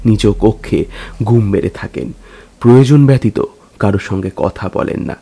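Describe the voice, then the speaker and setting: A man reading a dramatic story as a narrator, with measured, literary delivery. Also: lowish voice, vocal fry, warm tone. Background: music.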